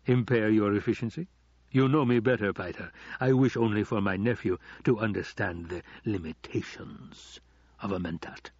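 A man voicing a scheming, silkily menacing older nobleman in an audiobook, with slow, drawn-out taunts. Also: lowish voice, darkish tone, slightly rough, no echo, dull audio. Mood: silky mockery.

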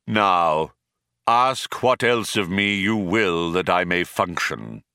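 Man acting a grand storybook supercomputer, solemn, very slow, dry sound, all-knowing. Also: low-pitched, lively intonation, pushed and bright, smooth, no echo, exaggerated voice. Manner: solemn, drawn-out delivery.

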